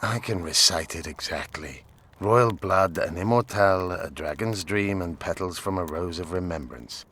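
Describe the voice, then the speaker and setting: A man's voice: low voice, moderate pace, fairly bright tone, slight background noise. A man acting a hardened, suspicious rebel commander in a video game, speaking bluntly and with clipped authority. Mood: suspicious, curt.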